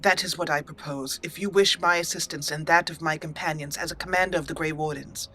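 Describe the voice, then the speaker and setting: A resolute young elf mage, as a woman puts her on speaks in a bright, ringing tone. She talks in a measured, solemn manner, choosing her words with care. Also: low-pitched, steady tone, faint music behind. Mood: firm. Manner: measured, solemn role-play reading.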